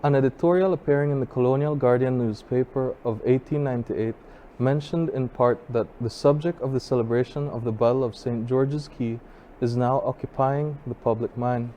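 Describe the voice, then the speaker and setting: Guy reading history notes out loud, soft mellow tone, bit halting, noisy recording. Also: medium pitch, steady tone, highs cut off. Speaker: guest speaker, college assistant registrar. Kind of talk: informal history talk read from notes. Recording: clear background noise.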